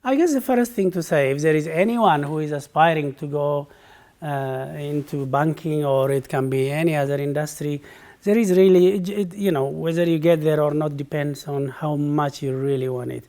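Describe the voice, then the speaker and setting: A man's voice: on the high side, normal speed, dark tone. A middle-aged man from Ethiopia, a guest in a conversational interview, who answers thoughtfully with frequent fillers and restarts.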